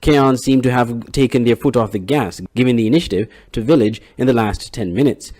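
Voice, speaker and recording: A man, reporting sports and local news in a steady, measured read. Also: medium pitch. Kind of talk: scripted news reading.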